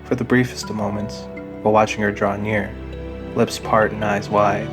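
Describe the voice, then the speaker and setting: A man giving a scripted, deliberate narration of a fantasy audio drama. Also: fairly low pitch, little pitch movement, over music.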